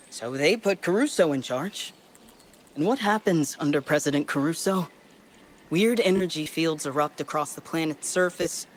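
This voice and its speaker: A man's voice: fairly high pitch, a bit bright. An actor playing a lonely male colonist survivor, bitter and weary, rambling through a desperate audio log for a game, with faint ambient noise behind.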